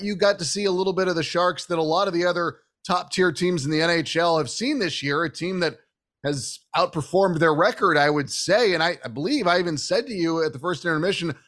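A man's voice: fairly high pitch, a little fast, a bit bright. An American man hosting a sports talk show in a chatty, opinionated, conversational way.

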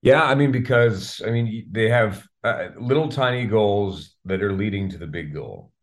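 A man's voice: lowish voice, normal speed. A man, a radio play-by-play announcer, giving wordy sports analysis as an interview guest, often repeating words as he searches for the next phrase. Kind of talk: sports interview chat about hockey.

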